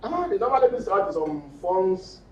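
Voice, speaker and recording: A man's voice: high voice, sing-song, normal speed, darkish tone, muffled recording. A man with a Nigerian accent informally recounting personal experience as an audience member. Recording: echoey, distant mic, faint noise.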